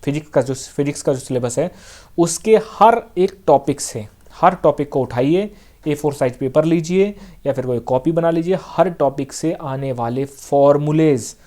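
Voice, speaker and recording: Fairly high-voiced man coaching exam students. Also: moderate pace, dark tone. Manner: direct, repetitive coaching, frequent "theek hai" check-ins. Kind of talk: casual exam-prep advice talk.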